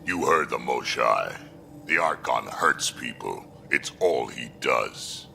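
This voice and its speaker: Gravelly, rock-bottom-deep alien warrior. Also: male voice, lively intonation, bright, forceful tone, slight background noise, full character voice. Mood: grim. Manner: slow, terse. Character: gruff, brooding male alien warrior squadmate, grim and battle-worn. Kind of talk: cinematic sci-fi game acting.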